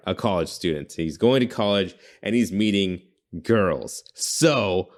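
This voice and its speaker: A man hosting a casual brainstorming chat, informal and often correcting himself as he thinks aloud. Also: fairly low pitch. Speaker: podcast host pitching game ideas.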